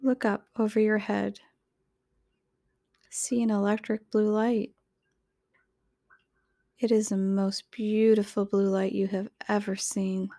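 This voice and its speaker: Woman doing guided meditation, real slow n soft, mellow voice w some fry, soothing. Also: medium pitch, no room sound, clean studio sound. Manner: soothing, measured, instructional. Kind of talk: guided meditation, scripted narration.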